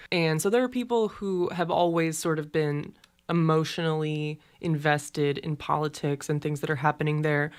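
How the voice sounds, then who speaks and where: Woman co-host, lower pitch, frank and casual chatty delivery. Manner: casual, frank, uses "like" often. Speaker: podcast co-host. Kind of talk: casual podcast discussion.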